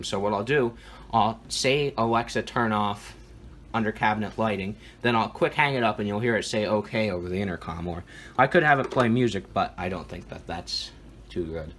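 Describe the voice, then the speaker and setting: A man's voice: fairly low pitch, brisk, fairly bright tone. A man giving an informal, matter-of-fact demonstration of equipment as a video host, recorded indoors at home.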